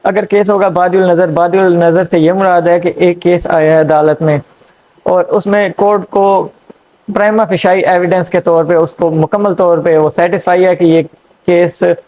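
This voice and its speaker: A man giving a law lecture, explaining slowly with frequent fillers and checks. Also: high-pitched, flat delivery, a little mellow. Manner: hesitant, frequent fillers and checks "theek hai". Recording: dull lo-fi recording.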